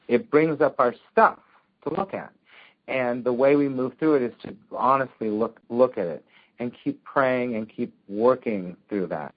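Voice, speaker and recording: A man leading a spiritual discussion group, speaking in a gentle, encouraging way and often murmuring agreement. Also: mid-range voice. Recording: dull lo-fi sound.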